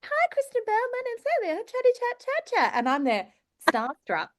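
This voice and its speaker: A woman's voice: very high voice, huge pitch swings, moderate pace. An Australian woman chatting casually with friends as a podcast co-host. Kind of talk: casual chat.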